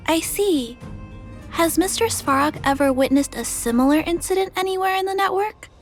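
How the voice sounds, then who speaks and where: A very high, childlike girl's voice for a video game. She is gentle, polite and earnest, choosing words thoughtfully with quiet determination. Soft music sits faintly behind her. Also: lively intonation, normal speed. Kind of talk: scripted video game voice acting.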